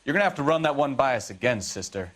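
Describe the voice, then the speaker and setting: Cynical, animated American cop. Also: male voice, medium pitch, fast. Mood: sarcastic. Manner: wry, slangy, sarcastic. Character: cynical, sardonic male 1940s police detective. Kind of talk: dramatic video game acting.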